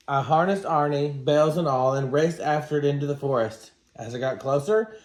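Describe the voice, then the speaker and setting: Higher-voiced man reading a storybook, roomy sound. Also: a little mellow. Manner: dramatic, acts out dialogue. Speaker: teacher reading to students. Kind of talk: expressive children's storybook read-aloud. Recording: roomy, echoing room sound.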